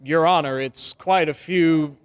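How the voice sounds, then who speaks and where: A man's voice: a little high, measured pace, smooth, lightly acted. A man playing a confident, persuasive defense lawyer in an old-time radio drama, speaking in an emphatic, oratorical way. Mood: confident, formal.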